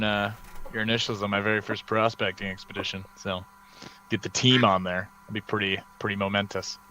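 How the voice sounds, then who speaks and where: Guy on a livestream chatting away, rambly and excited, forceful bright voice, bit of noise. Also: fairly low pitch, poor audio. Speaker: host of a hobby livestream. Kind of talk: casual livestream chat. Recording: steady background noise.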